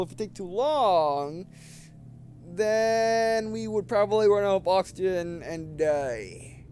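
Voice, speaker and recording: A man doing casual let's play commentary, reading game dialogue aloud and thinking out loud, often repeating and restarting his phrases. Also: high-pitched, slight background noise. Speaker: gameplay video host.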